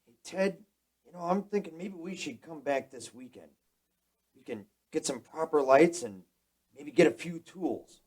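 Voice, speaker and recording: A man's voice: on the high side, expressive, a little slow, darkish tone, slightly rough. A man acting in a radio drama as a bold, eager young man who takes charge in urgent, exclamatory bursts. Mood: curious.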